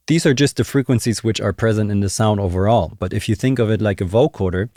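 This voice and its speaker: A man's voice: fairly low pitch, dark tone, no room sound. A young man giving a casual tutorial, thinking aloud in a conversational, explanatory way into a close microphone. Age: young adult. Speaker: music production tutor. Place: small indoor home studio. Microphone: close desk microphone, headphones on. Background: music and drum samples.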